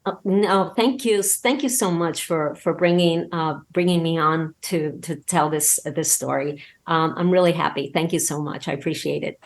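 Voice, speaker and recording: A woman's voice: low-pitched, steady tone, moderate pace, creaky, hardly any pauses. A woman speaking as a podcast guest, telling things in a casual, earnest, conversational way. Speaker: podcast guest, audio drama creator. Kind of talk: casual interview anecdote.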